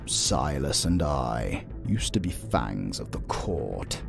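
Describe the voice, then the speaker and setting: A man voicing a solemn, loyal vampire warrior in a dark fantasy audio roleplay, measured and formal. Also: rumbling low, very animated, vocal fry, music in the background, exaggerated voice.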